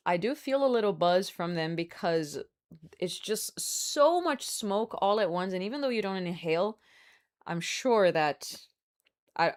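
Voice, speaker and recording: A woman from Brazil, hosting a live stream in casual, candid chat, smoking a cigar as she talks and hedging with fillers. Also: on the low side. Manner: chatty and candid, hedging with fillers, laughs often; smoking a cigar while talking. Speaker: livestream host.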